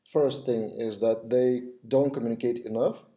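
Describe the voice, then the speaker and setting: Mellow Lithuanian man talking to webcam. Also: medium pitch, fairly even intonation, moderate pace. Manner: hesitant, many restarts and fillers. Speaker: web developer vlogger. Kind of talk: casual talk to camera. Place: small office, indoors.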